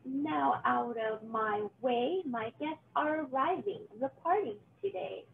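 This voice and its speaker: A wicked old storybook witch, played by a woman, high-pitched and snappish. She screeches bossy, menacing orders. The recording is thin, with some noise behind it. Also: animated, slow, gravelly, narrow, tinny audio, heavily acted.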